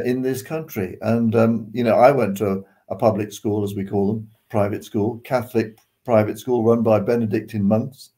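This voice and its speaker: A man's voice: lowish voice, warm tone. An older British man giving his opinions as a panelist on a video call, hesitant and reflective as he thinks aloud. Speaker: news discussion panelist. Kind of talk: casual opinion discussion. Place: living room at home. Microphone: video call, seated back from the camera.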